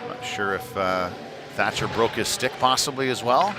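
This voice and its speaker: A man doing live hockey play-by-play commentary in a halting delivery with mid-sentence pauses. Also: fairly low pitch. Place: indoor ice rink during a game. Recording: noisy rink sound behind the voice. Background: clear venue noise.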